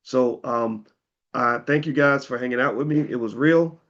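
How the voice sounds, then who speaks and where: A man with a slightly hoarse voice talks straight to his viewers in a casual, persuasive pitch. He speaks in plain terms, stumbling and repeating words as he goes. The audio sounds a little dull and lo-fi. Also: moderate pace, a bit bright. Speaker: host pitching an investing course.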